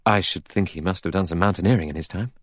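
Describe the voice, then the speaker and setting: A man's voice: low voice, quick pace, dry recording, studio quality. A man voicing a worried male doctor in an audiobook, doubtful and hesitant in the way he talks.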